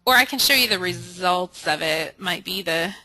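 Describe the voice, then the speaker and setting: A woman's voice: fairly low pitch, animated, moderate pace, sharp tone, dry recording, low-quality recording. A woman leading an informal live training webinar, reading out and answering questions candidly while thinking aloud. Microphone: conference call or webinar audio.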